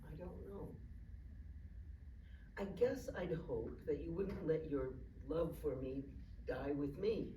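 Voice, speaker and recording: Hesitant, forgetful old wife played by an actress, echoey. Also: female voice, low voice, expressive, warm tone. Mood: tender. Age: older. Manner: hesitant, halting, stammering. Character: frail old wife, forgetful, anxious and tender. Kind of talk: staged play reading. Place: live staged reading in a room. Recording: echoey room, distant mic, faint noise.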